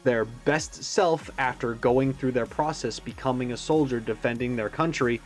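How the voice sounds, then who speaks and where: A man's voice: mid-range voice, moderate pace. Musing man rambling through casual commentary, music behind. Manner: musing, tentative, thinking aloud. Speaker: YouTube reaction host.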